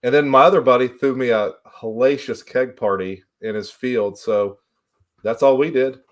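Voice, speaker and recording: Middle-aged man, folksy, medium-pitched voice. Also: normal speed. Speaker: livestream host. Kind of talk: casual chat, storytelling.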